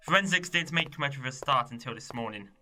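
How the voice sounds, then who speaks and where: An actor playing a gruff, touchy and sarcastic police sergeant in an amateur radio play. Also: male voice, medium pitch, animated, brisk, bright, forceful tone. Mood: sardonic, impatient. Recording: faintly noisy amateur recording with clicks.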